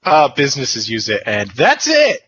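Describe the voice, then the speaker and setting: A man's voice: medium pitch, wildly swooping pitch, moderate pace, a crowd in the background. A man chatting casually on a group voice call, easygoing and joking, chuckling as he talks. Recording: dull lo-fi online call audio with faint noise.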